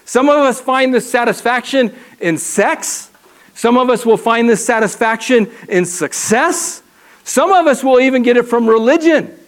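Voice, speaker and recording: A man preaching a sermon from a church stage, animated and full of rhetorical questions and repeated phrases. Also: very high-pitched, moderate pace. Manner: animated, acts out people's inner voices. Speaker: pastor. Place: church stage with a ladder prop.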